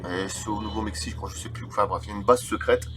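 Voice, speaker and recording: A man's voice: on the low side, steady tone, racing, background noise. A man, reading from a book aloud and adding casual, rambling asides as the host of a video channel. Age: 30s. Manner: informal, rambling, digressive asides. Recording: background music under the voice. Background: eerie film music.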